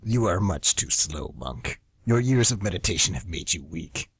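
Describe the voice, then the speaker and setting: A man voicing a smug demonic flamingo villain with a slow, taunting delivery in an amateur radio play. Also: low voice, very animated, breathy edge, no room sound, studio quality, exaggerated voice.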